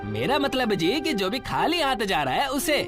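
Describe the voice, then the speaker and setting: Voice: mid-range voice, big pitch swings, moderate pace, natural voice. A narrator playing a humble, worried, earnest male South Indian dosa street vendor in a cartoon story, often ending phrases with a respectful tag. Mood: apologetic, earnest. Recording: music behind the voice.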